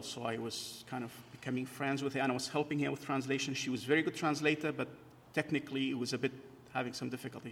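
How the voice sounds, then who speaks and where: A middle-aged man from northern Iraq giving a hesitant personal testimony at church, with many fillers and restarts. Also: medium pitch. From Kurdistan, northern Iraq. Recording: long hall reverb.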